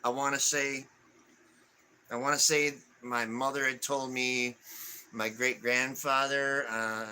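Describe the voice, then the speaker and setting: A man in his forties chatting on a live stream, his voice bright and forward. He reads viewer questions aloud and answers them haltingly, padding with fillers. A faint hum of ambient noise sits under the voice. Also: mid-range voice, flat delivery. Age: 40s. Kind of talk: casual live stream chat.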